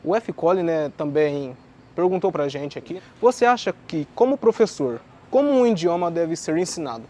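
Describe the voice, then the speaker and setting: A man's voice: on the high side, very animated, normal speed, warm tone. A man co-hosting, reading viewer questions aloud in brief, plain turns.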